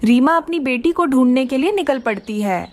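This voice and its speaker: A woman's voice: sits high, lively intonation, moderate pace. A woman giving scripted narration for a children's cartoon story.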